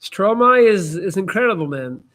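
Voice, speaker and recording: A man's voice: sits high, expressive, normal speed, fairly bright tone. A Belgian man, a native Dutch speaker with an American-sounding accent, chatting casually as he hosts a livestream, informal and emphatic with slangy asides. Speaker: livestream host giving advice. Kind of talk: casual live chat, answering comments.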